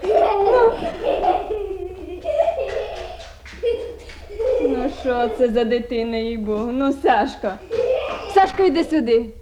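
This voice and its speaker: A woman's voice: a little high, very animated, unhurried, heavy background noise. An actress playing a poor, anxious village mother with a Ukrainian accent, rambling and plaintive in a naturalistic radio drama. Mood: flustered, scolding.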